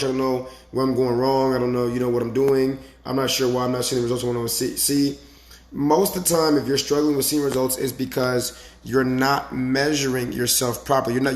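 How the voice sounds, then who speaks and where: A man with a raspy, slightly hoarse voice giving a casual motivational talk as a fitness coach, emphatic and repetitive with frequent rhetorical questions. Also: mid-range voice. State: slightly hoarse from the previous day.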